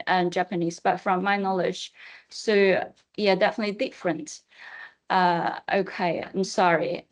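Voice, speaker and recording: Hesitant woman speaker answering questions. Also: mid-range voice. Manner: hesitant, repeats herself. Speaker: conference speaker.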